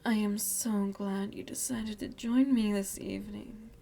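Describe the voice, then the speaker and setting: A woman playing an elegant, seductive, motherly vampire lady of the manor in a softly half-whispered ASMR roleplay, genteel and coaxing. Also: medium pitch, steady tone, measured pace, creaky, a little hiss or hum. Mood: gracious, welcoming. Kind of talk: ASMR roleplay, soft breathy speech.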